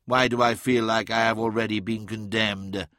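A man's voice: lowish voice, flat delivery, moderate pace, sharp tone, no room sound, studio quality. A man playing a proud, defiant warrior lord in an audiobook, imperious and grand in his delivery. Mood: bitter. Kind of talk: dramatic audiobook character acting.

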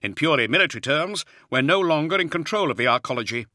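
Guy putting on a wiry colonel, high and strained, loud and urgent, bright edge. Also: expressive, quick pace, no room sound, studio quality. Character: urgent male soldier colonel, wiry, shouting.

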